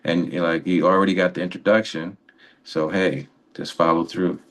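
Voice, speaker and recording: A man's voice: sits low, fairly even intonation, normal speed. A man co-hosting a radio show, explaining things in a casual, conversational way.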